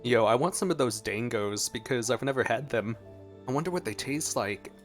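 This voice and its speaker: A male streamer comments casually on a game. His pitch is average and his phrasing is informal and trailing, as if thinking aloud. Game music plays clearly behind him. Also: lively intonation, normal speed.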